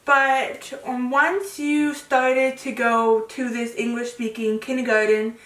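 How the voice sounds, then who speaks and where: A woman's voice: on the high side, little pitch movement, slow, a bit bright. A young woman presenting and interviewing informally as a student, in a conversational, checking-in way. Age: young adult. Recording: echoey room, distant microphone.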